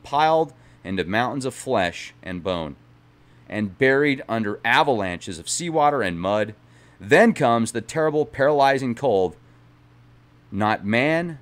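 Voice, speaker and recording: A man reads a book aloud on a stream, his pitch swinging widely as he leans into the drama of the text. His voice sits at an ordinary middle pitch. Every so often he breaks off into relaxed, chatty digressions to his viewers before going back to the page. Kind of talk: reading a book aloud with casual asides.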